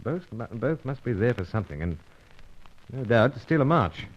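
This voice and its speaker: A man's voice: mid-range voice, very animated, moderate pace, lightly acted. An actor playing a suave British secret agent who talks in a clipped upper-class way around his pipe, in an old radio drama. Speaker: narrator and lead. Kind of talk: 1950s radio drama acting. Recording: dull old radio recording.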